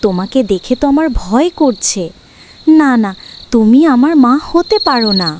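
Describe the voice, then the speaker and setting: A woman's voice: animated, moderate pace, background noise, close to an everyday voice. A woman voicing a small mistreated girl who sounds frightened and pleading in a cartoon. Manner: high, pleading and tearful. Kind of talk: cartoon voice acting.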